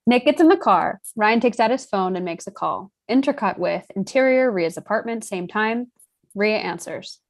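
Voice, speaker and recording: Mid-pitched woman reading screenplay directions. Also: lively intonation, normal speed. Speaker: stage directions reader. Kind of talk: scripted narration of screenplay directions.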